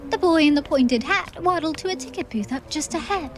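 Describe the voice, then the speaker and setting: High-voiced woman narrating fantasy. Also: a little fast. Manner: theatrical storytelling. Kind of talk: scripted fantasy audiobook narration. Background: soft music.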